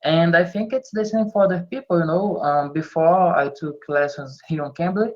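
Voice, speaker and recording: A man's voice: fairly high pitch, moderate pace, a little mellow. A man who speaks English as a learner, chatting casually and hesitantly with frequent small laughs. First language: not English. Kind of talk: casual chat in a language lesson. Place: online video call. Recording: dull lo-fi call audio.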